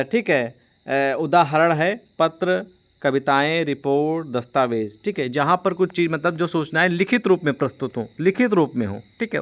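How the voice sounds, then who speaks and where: Male online teacher, slightly high pitch, informal and explanatory, repeats points with frequent check-ins. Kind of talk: informal exam-prep lesson.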